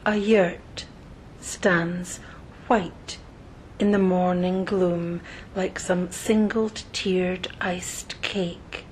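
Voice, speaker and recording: A woman narrating a scripted guided meditation in a soothing, measured manner, pausing between short phrases, with soft breathy phrase endings. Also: steady tone, rough recording. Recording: noticeable low rumbling background noise.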